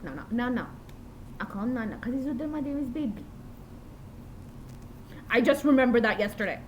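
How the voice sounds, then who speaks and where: A woman's voice: a little high, lively intonation, moderate pace, slight background noise. A woman rambling through a diary-style vlog, meandering and often restarting or correcting herself. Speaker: vlogger.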